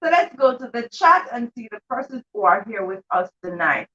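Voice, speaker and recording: High, bright-voiced woman hosting enthusiastically. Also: sing-song, fairly quick. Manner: enthusiastic, repeats phrases. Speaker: host of children's live read-aloud show. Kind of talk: livestream chat, greeting viewers. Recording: dull, distant livestream microphone.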